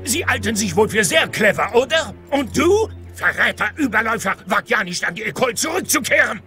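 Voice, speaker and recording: A smug, wildly swooping villain. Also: male voice, sits high, very animated, brisk, bright, forceful tone, soft background music, full character voice. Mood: scornful, angry. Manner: haughty, mocking. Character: smug scheming male riding-school rival, gloating villain. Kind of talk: children's cartoon audio drama acting.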